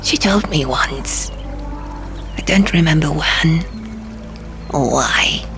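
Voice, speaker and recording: A woman's voice: sits low, slow pace. A woman voicing a male stone gargoyle in an audiobook, speaking in a solemn, declamatory and melancholy manner. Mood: gentle, sad. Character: stone gargoyle, male, plain-spoken, sad, theatrically melodramatic. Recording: music behind the voice.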